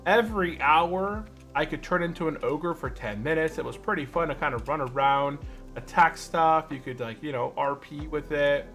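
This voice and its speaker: A man's voice: a little high, normal speed, a bit bright. Casual man streaming over music. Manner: rambling, thinking aloud. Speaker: livestream host, gamer. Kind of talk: casual livestream chat while gaming.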